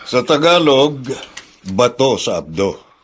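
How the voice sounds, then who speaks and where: An actor playing a calm doctor who explains things in a measured, matter-of-fact way in a radio drama. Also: male voice, mid-range voice, breathy edge, exaggerated voice.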